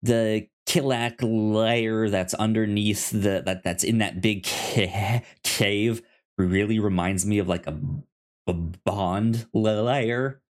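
A man co-hosting a podcast, talking casually about films like an enthusiastic fan, with halting, repeated starts. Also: lowish voice, steady tone. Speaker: podcast co-host. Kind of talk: casual movie discussion.

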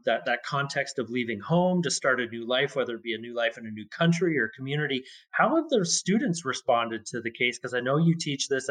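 Man, podcast host, average pitch, conversational interviewing, effusive, wordy, layered questions. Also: a bit bright. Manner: effusive, wordy, stacks several questions together.

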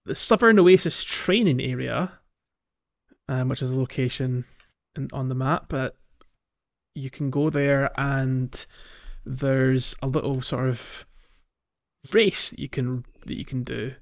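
A man's voice: medium pitch, steady tone, slow pace, pauses often, lo-fi sound. A man hosting a casual video game podcast, explaining things in a hesitant, rambling way. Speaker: podcast host.

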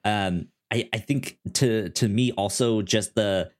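A man co-hosting a casual film discussion, speaking haltingly with frequent stammers and repeated words, sometimes animated. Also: fairly low pitch. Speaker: podcast co-host.

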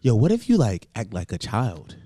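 A man's voice: fairly low pitch, normal speed, no echo, clean studio sound. A man in his twenties chatting casually on a podcast, teasing and laughing as he argues his point. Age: 20s. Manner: animated and teasing, laughs as he talks. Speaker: podcast co-host.

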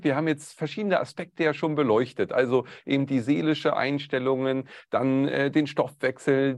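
A male interviewer summarizing and asking questions, measured, with frequent hesitation fillers. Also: medium pitch, no room sound. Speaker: interviewer, host.

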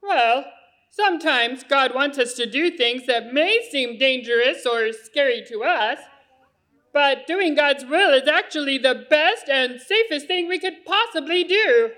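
A high-voiced, excitable puppet friend played by a woman talks in an earnest, instructive way. The pacing is slow and clear-cut for a children's church show. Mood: earnest teaching. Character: sensible, excitable puppet friend who explains lessons.

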